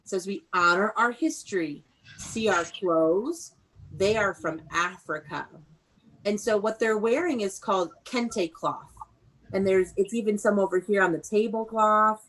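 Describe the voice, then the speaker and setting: Woman, primary school teacher, medium pitch. Instructive online read-aloud delivery, clearly stressed key words, call-and-repeat prompts. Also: measured pace, fairly bright tone, rough recording. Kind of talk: interactive online read-aloud lesson for young children. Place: indoors, on a video call. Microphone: video call.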